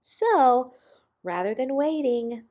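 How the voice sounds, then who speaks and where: Slow, expressive woman reading a picture book. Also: sits high. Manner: slow, dramatic pauses with emphasis on key words. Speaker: storybook reader. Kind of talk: expressive children's picture book read-aloud.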